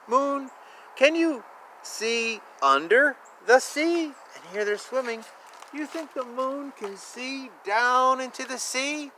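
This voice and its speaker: High-voiced man reading playfully to children. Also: pushed and bright. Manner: playful, exclaiming, chatty. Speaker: children's picture book reader. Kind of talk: animated read-aloud with asides to young children. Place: outdoors. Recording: outdoor ambient noise, faint. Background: faint outdoor ambience.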